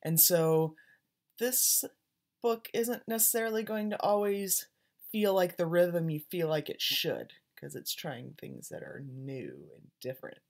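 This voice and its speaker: Expressive woman reading a story aloud. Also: on the low side, a little slow. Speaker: teacher reading a picture book aloud.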